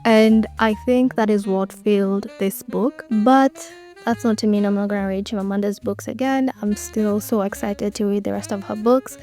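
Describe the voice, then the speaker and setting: A woman's voice: medium pitch, steady tone, moderate pace, warm tone, soft background music. A young woman giving an earnest, enthusiastic book review in a casual, conversational way.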